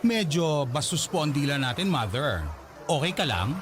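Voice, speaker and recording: A man's voice: on the high side, sing-song, moderate pace, music in the background. A man with a Filipino accent voicing a prim, defensive male pageant judge in a radio drama, politely prickly.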